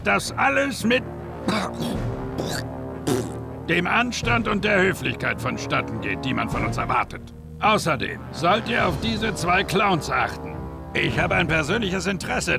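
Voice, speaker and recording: A pompous video game gang boss, ruthless under his manners. His voice is high and gravelly with lively swings, over clear music. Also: heavily acted. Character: pompous male villain gang boss, polite yet ruthless. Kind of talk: video game cutscene acting.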